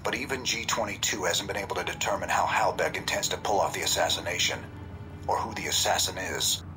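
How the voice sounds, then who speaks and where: An actor playing a grave male informant in a video game, speaking in a formal, measured way. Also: low voice, background noise, lightly acted. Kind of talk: video game cutscene acting.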